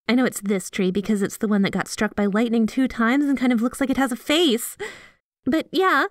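A woman's voice: fairly high pitch, dry recording, clean studio sound. A woman playing a sweet, obsessively devoted yandere girlfriend in a scripted ASMR roleplay, gushing and bashful as she speaks to the listener. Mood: excited. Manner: gushing, giggly, teasing, bashful asides.

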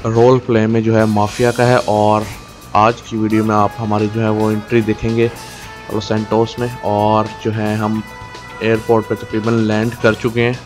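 A man with a Pakistani accent giving casual, halting gameplay commentary as a video host. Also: fairly low pitch. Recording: loud music behind voice.

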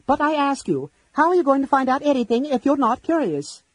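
A man voicing a small, curious male kender with a shrill, stammering voice. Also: big pitch swings, brisk, dry recording. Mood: nervous.

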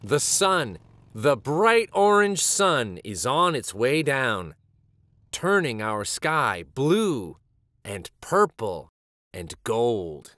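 Animated man reading a picture book. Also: mid-range voice, no echo, studio quality. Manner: animated, deliberate storytelling delivery. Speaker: children's read-aloud host and storyteller. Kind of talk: expressive picture book narration.

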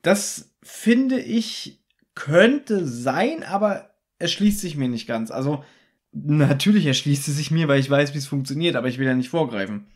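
A man with a slightly high voice, co-hosting a casual podcast. He retells a radio play's plot scene by scene in a chatty, explaining way. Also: moderate pace. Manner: retells plot in detail, animated when joking. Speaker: podcast co-host. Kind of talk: casual chat reviewing a radio play.

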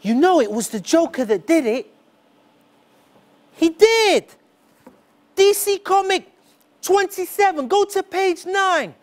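A man's voice: animated, full character voice. A man on stage playing a lonely young boy who begs to be a sidekick, speaking earnestly in a theatrical spoken-word monologue. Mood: bitter. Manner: earnest, slow and deliberate, rising pitch on key words. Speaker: stage performer. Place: dark smoky theatre stage.

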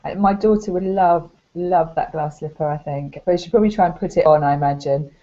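A woman's voice: on the low side, fairly quick, dark tone. A woman in her thirties talking informally and modestly about homemade crafts on a video call from a room at home. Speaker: craft blogger. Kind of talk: casual craft show-and-tell. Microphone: webcam video call. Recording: dull lo-fi video call audio.

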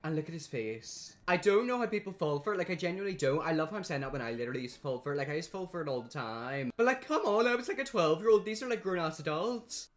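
A young man hosting a reaction video, chatting casually with lots of quick asides, recorded on an earphone mic in a small dim room. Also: fairly high pitch, expressive. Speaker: reaction channel host.

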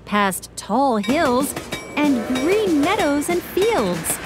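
A woman narrating a children's cartoon in a gentle, clear storybook manner. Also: sits high, sing-song, very slow, loud music behind the voice.

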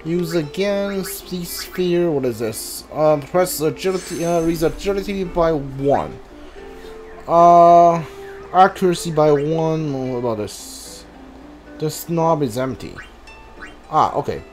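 A man's voice: a little high, takes it slowly, darkish tone, faint music behind. A man giving casual playthrough commentary as a gaming streamer, hesitantly thinking aloud.

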